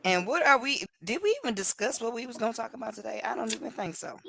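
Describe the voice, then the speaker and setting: A woman from Cleveland co-hosting a casual livestream chat from home over a video call, animated and laughing often. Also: on the high side, fast talker, gravelly. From Cleveland, Ohio. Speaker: co-host.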